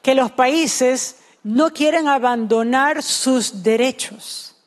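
A woman's voice: high-pitched, slow. A woman interpreting a sermon line by line, earnest and fluent.

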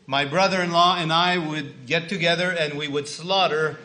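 A man's voice: on the high side, fairly even intonation, bright, forceful tone, low-quality recording. A man in his sixties from Canada, telling his life testimony in short phrases so an interpreter can follow. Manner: plain, unhurried storytelling in short phrases. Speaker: guest speaker giving testimony. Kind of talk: testimony sermon with line-by-line interpreting.

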